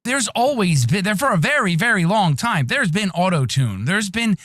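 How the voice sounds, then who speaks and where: A man with a whiny, nasal voice co-hosting a podcast, chatty and full of opinions. His pitch sits fairly high and moves around a lot. His EQ'd mic gives a dry, close sound. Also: very animated, normal speed, fairly bright tone, no room sound. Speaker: podcast co-host. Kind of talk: casual chat.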